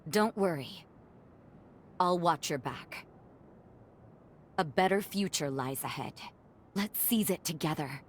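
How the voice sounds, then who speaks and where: A woman's voice: low voice, animated, slow pace, long pauses, slight background noise. An actress playing a confident woman warrior in a game cutscene, wry, flirtatious and affectionate with a comrade. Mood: teasing, affectionate. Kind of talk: dramatic game cutscene dialogue.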